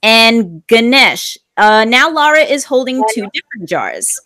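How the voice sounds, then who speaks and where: A woman's voice: fairly high pitch, very animated, unhurried, sharp tone. A woman teaching an online English class, explaining grammar patiently and repeating phrases for learners.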